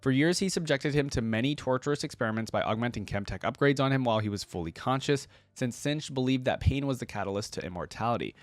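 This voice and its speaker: A man hosting a gaming reaction video, talking casually and thinking out loud as he comments, addressing his viewers informally. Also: mid-range voice, lively intonation, fast, hardly any pauses, no room sound. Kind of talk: casual reaction commentary, some reading aloud.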